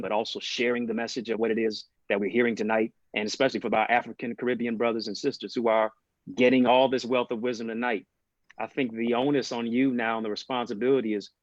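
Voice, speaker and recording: Panelist: man from Jamaica, low dry voice, slow sermon-like phrasing, dull call audio. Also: no room sound. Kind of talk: panel discussion on a video call. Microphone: video call.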